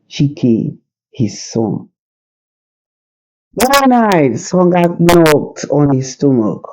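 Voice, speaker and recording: A storyteller narrating a folktale in a slow, deliberate, suspenseful and dramatic way. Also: medium pitch, mellow, dull audio. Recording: hissy background noise.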